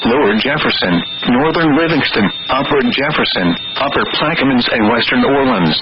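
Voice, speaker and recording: Through a dull radio signal thick with noise comes a man's automated announcer voice. He reads a weather bulletin formally, in a synthetic, scripted way. His pitch sits in the middle of the male range. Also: lively intonation, normal speed. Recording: noisy muffled weather radio broadcast.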